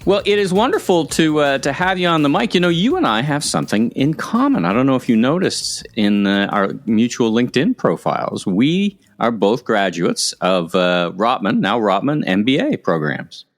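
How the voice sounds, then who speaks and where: A man's voice: medium pitch, very animated, normal speed, hardly any pauses. A middle-aged man, hosting and interviewing on a podcast. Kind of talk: scripted podcast intro and conversational interviewing.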